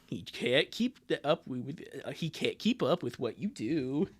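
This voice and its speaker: The streamer voicing a calm older cartoon sister who is measured and gently reproving, in a live comic dub. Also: medium pitch, slightly rough, no echo, clean studio sound. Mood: reproachful.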